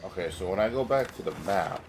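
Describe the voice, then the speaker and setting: A man's voice: low-pitched, lively intonation, fast. A man with a stuffy nose giving casual, rambling gameplay commentary as a streamer, often confused by the controls and mocking himself. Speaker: gaming streamer. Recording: voice over game music. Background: game music.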